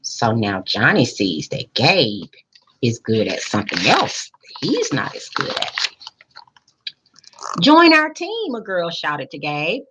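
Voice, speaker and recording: A woman reading a picture book aloud expressively, pausing in a teacherly way to put questions to young listeners. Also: very deep voice, big pitch swings, noisy background. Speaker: school principal reading aloud to children.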